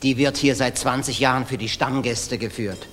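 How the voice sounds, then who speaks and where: An actor playing a deferential, halting wine waiter in a 1960s German radio drama. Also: male voice, mid-range voice, fairly even intonation, a bit bright, a little hiss or hum, close to an everyday voice. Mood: hesitant. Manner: halting, formal, servile. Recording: reverberant mono 1960s radio recording.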